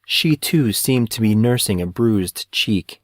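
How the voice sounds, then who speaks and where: Male audiobook narrator, lowish pitch, scripted storytelling delivery, dry recording. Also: animated, takes it slowly, no room sound.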